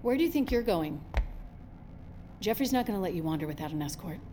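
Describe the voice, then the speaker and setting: A woman's voice: medium pitch, animated, a little fast, dark tone, a little hiss or hum, natural voice. An actress playing a pragmatic, protective yet guarded woman in an audio drama.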